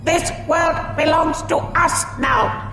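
A woman's voice: very high voice, slow pace, vocal fry, bright, forceful tone, roomy sound, faint music behind, pitched above the performer's own voice, heavily acted. A woman voicing a tiny red metal alien bug with a tinny, synthesized voice and clipped, machine-like phrasing. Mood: flat.

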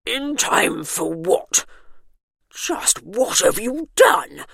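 A woman's voice: fairly high pitch, very animated, drawn-out words, vocal fry, a bit bright, dry recording, clean studio sound, voice pushed up, heavily acted. A woman voicing Colin, a blustering male alien invasion commander who shrieks when cornered. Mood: demanding.

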